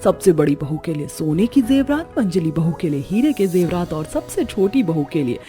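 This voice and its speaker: A woman's voice: on the low side, sing-song, normal speed, dark tone. A woman voicing a boastful, condescending middle-aged mother-in-law in a cartoon story, with music behind. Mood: proud. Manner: condescending, taunting. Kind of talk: Hindi cartoon story voice acting.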